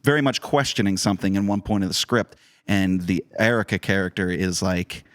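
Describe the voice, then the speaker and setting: A man's voice: low voice, fairly quick. A man co-hosting a podcast in casual chat, explaining things conversationally and acting out imagined remarks. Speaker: director, podcast co-host.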